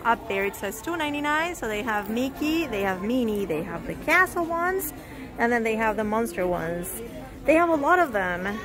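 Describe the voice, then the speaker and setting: Shopping vlogger, woman, higher voice, phone-filmed in a shop with music playing. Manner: reads out prices, exclaims in surprise. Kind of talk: casual shopping walkthrough. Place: indoor discount store. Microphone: handheld phone camera. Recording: store music playing behind. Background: store music.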